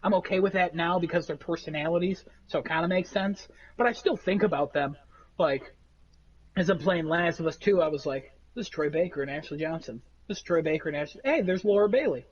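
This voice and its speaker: A man with a nasal voice, rambling through animated stories in a casual chat among friends. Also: a little high, fairly quick, husky, lo-fi sound. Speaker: podcast co-host.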